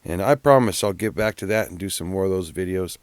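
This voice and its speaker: A man's voice: deep voice, a little fast, clear, resonant tone, no room sound. A man hosting an outdoor and DIY video channel, narrating in a casual vlog style.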